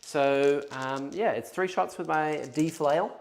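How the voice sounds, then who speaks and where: A man's voice: a little high, slow pace, vocal fry, background noise. Chatty man explaining tabletop moves. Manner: chatty, explains rules and moves aloud while measuring. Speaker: wargaming battle report player. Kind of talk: casual tabletop game chat on stream.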